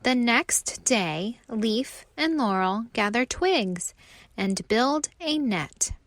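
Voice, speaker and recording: A woman narrating a children's storybook aloud with expressive, deliberate phrasing. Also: on the high side, no echo, clean studio sound.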